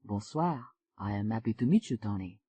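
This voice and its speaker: A woman voicing a calm, aloof French-Canadian man, dropping her voice low and speaking in a measured way. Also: slightly rough, dry recording, full character voice. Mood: cool.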